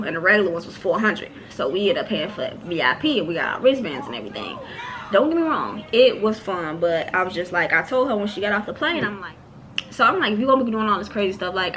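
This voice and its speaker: A woman in her late twenties telling a personal story to her viewers in a casual, expressive way. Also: medium pitch, slight background noise. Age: late 20s. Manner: chatty, expressive, talks straight to her viewers. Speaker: podcast host.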